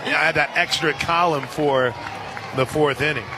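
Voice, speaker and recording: A man doing live radio play-by-play of a softball game, slipping conversational asides in between pitch calls. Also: fairly even intonation, normal speed. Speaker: play-by-play announcer. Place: outdoor softball stadium broadcast booth. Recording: loud crowd and outdoor stadium noise. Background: crowd noise.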